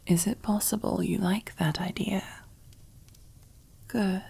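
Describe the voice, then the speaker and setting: A woman playing a commanding, possessive, silkily menacing mob boss in an ASMR roleplay, with a breathy, husky near-whisper and slow, teasing, condescending delivery. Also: mid-range voice, fairly even intonation, dark tone, exaggerated voice. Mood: seductive, commanding. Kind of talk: ASMR roleplay, close whispered character acting.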